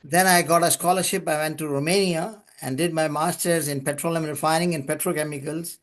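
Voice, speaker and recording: An older man from Pakistan, an English speaker, telling long stories of his career in a relaxed way with small chuckles and restarted words. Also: on the high side, brisk. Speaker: guest, lubrication consultant. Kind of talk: podcast interview storytelling.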